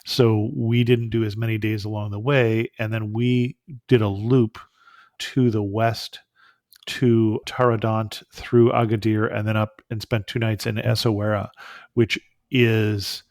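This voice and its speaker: A man hosting a travel podcast, talking in a relaxed, easygoing way and affirming his guest. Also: on the low side, measured pace, no room sound, studio quality. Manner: relaxed, easygoing, brief affirmations and light laughs. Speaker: podcast host. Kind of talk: conversational travel interview.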